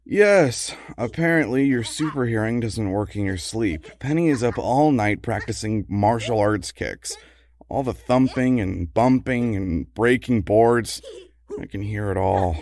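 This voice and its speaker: A man's voice: fairly low pitch, a little slow, darkish tone. A man playing a tired, flustered, grumbling husband of a superheroine, plaintive and sarcastic, in a comedy audio drama. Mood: weary, irritated.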